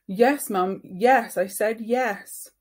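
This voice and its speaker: A woman's voice: medium pitch, extremely slow, pitched above the performer's own voice. A woman voicing an exasperated, argumentative boy of about ten in a storybook, speaking emphatically and defensively. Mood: resigned, impatient.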